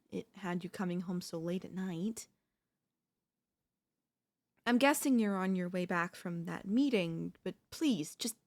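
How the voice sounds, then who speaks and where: A woman playing an anxious, doting and quietly controlling wife in an intimate ASMR audio roleplay, with breathy, hesitant, coaxing delivery. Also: medium pitch, natural voice. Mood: uneasy, probing.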